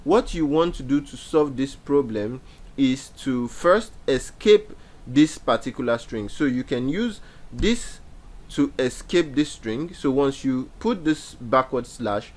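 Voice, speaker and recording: A young man talks through a beginner coding tutorial from a small indoor room, picked up by a laptop mic. He explains step by step, circling back to repeat key points, with plenty of ums along the way. His voice sits at an everyday middle pitch. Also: animated, unhurried. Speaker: programming instructor. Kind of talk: screen-share coding tutorial.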